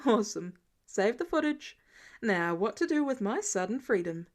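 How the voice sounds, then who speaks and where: A woman's voice: medium pitch, big pitch swings, normal speed, lightly acted. Woman as a flippant, childish billionaire genius man. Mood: gleeful.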